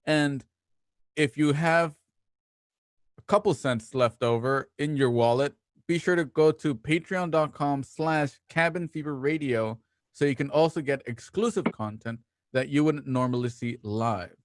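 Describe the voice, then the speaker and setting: Playful male host, higher-pitched, dry close sound. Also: a little slow, dry recording. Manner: playful, talkative, rambling asides. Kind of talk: casual live show introduction and promotion.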